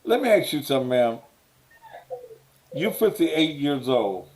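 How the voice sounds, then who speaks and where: A man's voice: mid-range voice, very animated, unhurried, darkish tone. A man hosting a call-in show, blunt and pressing, who repeats his questions until he gets answers. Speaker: talk show host. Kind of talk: call-in radio talk.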